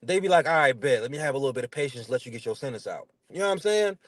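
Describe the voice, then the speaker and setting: A man's voice: mid-range voice, animated, racing, dry recording. A man in his thirties with a stutter, chatting casually in a blunt, slangy, emphatic way as he tells his stories. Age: 30s. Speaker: interview guest. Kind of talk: casual chat, animated storytelling.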